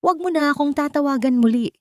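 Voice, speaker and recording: A woman's voice: high-pitched, quick pace, warm tone, no echo, studio quality, pitched above the performer's own voice, lightly acted. A woman voicing a bitter, scornful young mistress in a story drama, biting and disdainful. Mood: bitter contempt.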